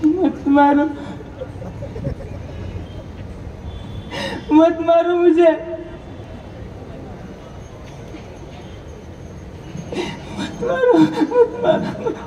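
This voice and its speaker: Man acting anguished doctor, high-pitched, echoey hall. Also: normal speed, darkish tone, dull audio. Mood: terrified pleading. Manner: declamatory, repeats phrases for emphasis. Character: beaten, anguished yet dutiful male doctor, pleading to society. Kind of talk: loud theatrical stage monologue. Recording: echoey hall from far off, noisy.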